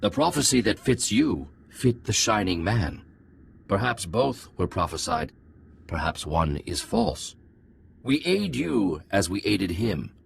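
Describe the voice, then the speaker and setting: Solemn alien ruler for a game, cryptic and stately, gravel-throated, lively pitch movement. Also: male voice, slow, a little hiss or hum, heavily acted. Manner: stately, oracular short pronouncements. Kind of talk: dramatic video game acting.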